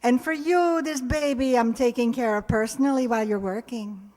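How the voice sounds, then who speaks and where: A woman's voice: fairly high pitch, moderate pace, darkish tone, lightly acted. A woman playing a kindly, meddling immigrant landlady in old-time radio comedy, with wry, inverted Yiddish-style phrasing. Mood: warm, nosy. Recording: echoey hall with long reverb.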